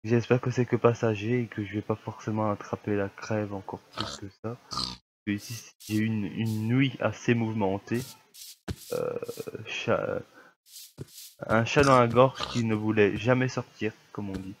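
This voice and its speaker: A man's voice: on the low side, a little slow, low-quality recording. A young man with a mild cold narrates his game in a loose, muttering way. His voice is slightly congested and he grumbles easily when things go wrong. A faint hiss sits under his home recording. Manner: grumbling, easily annoyed at lag. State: has a cold, coughing. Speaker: gamer. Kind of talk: casual gameplay commentary.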